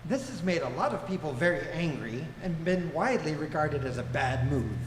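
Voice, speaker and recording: A measured, declamatory storyteller played by a man narrates a comic science-fiction radio play. The voice sits a little high and rings through a reverberant hall, with clear noise in the background. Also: lively intonation, natural voice. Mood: wry, grand.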